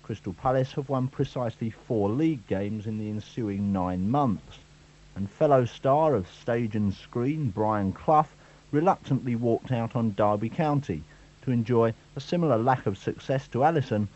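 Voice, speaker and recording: A man's voice: medium pitch, normal speed, a little mellow. A male sports reporter reading a scripted year-in-review with a dry, wry delivery. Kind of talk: scripted narration. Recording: dull lo-fi radio recording.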